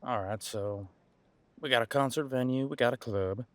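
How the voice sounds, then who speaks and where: A man playing a centuries-old, easygoing detective in an audio roleplay, talking casually and folksily as he muses aloud with pauses. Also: medium pitch, dry recording, lightly acted. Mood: musing. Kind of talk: detective roleplay audio, one side of a conversation.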